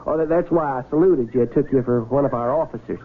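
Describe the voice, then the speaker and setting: An actor playing a nervous, polite young Civil War soldier in a radio drama, with a creaky American voice and folksy, halting, stammering speech. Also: male voice, medium pitch, a little hiss or hum, lightly acted. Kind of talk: 1970s radio drama acting. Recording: narrow, tinny vintage radio broadcast, music behind.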